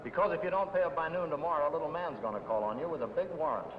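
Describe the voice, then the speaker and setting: A man's voice: rapid-fire, natural voice. A man playing a blunt, condescending investigator in a 1950 film noir, leaning hard on a debtor. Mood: threatening. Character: hard-nosed, threatening male debt-collection investigator. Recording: noisy old film soundtrack, traffic rumble.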